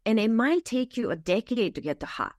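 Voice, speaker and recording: A woman's voice: fairly high pitch, sing-song, fairly quick, darkish tone, no echo, clean studio sound. A middle-aged woman from Japan, a wellness practitioner, earnestly explaining ideas step by step with many fillers. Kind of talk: explanatory interview talk.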